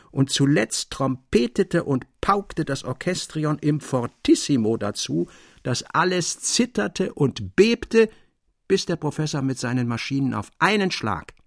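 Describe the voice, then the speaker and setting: A man narrates classic literature with a husky, creaky voice at a medium pitch. His storytelling is expressive and measured, in the manner of a radio reading, and the sound is very dry. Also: no echo. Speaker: audiobook reader. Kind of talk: literary scripted narration for German public radio.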